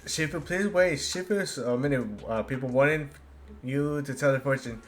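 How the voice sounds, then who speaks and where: A man streaming a game, reading its dialogue aloud haltingly and adding casual commentary. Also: medium pitch. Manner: halting read-aloud with stumbles.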